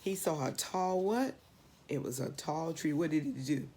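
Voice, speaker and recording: A woman's voice: low voice, measured pace, warm tone, slight background noise. A woman reading aloud to children expressively, asking the listeners questions and repeating phrases for dramatic emphasis. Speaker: storybook read-aloud reader.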